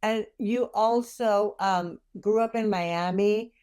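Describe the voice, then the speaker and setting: A woman interviewer asking questions in a friendly, somewhat halting way with fillers. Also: mid-range voice, a little mellow, clean tone.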